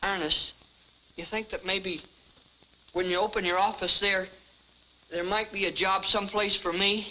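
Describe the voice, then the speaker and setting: A man's voice: high-pitched, fairly even intonation, normal speed, long pauses, bright, forceful tone, a little hiss or hum. A man playing a simple, eager young sidekick, boyish and earnest, in a 1950s television comedy. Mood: earnest, admiring. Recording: dull vintage 1950s TV soundtrack.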